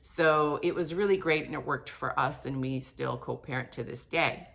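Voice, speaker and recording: A woman in her late fifties with a low-pitched voice speaks directly to her viewers. Her delivery is casual and candid, like a personal vlog. She rambles conversationally, often correcting herself mid-thought. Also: moderate pace, creaky, a bit bright. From Portugal, emigrated with parents. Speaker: vlogger and author.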